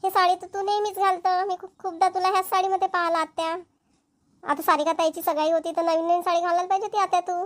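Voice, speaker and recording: A woman's voice: extremely high pitch, little pitch movement, quick pace. A woman voicing a chatty, fussy young village girl in a cartoon comedy, with a Vidarbha Marathi accent. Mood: chatty, frank.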